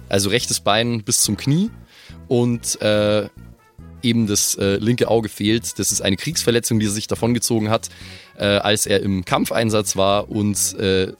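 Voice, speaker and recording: A man's voice: fairly low pitch, steady tone, unhurried, soft background music. A man speaking casually as a podcast co-host, explaining things in an informal chat. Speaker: podcast co-host, tabletop role-player.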